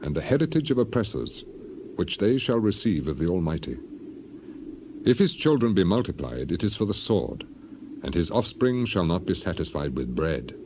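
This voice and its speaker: An actor playing Job, a solemn suffering man of the Old Testament, in a dramatized King James Bible reading, with grave, declamatory, measured phrasing. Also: low voice, big pitch swings. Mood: grave, foreboding. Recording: clearly noisy, birds and ambience behind.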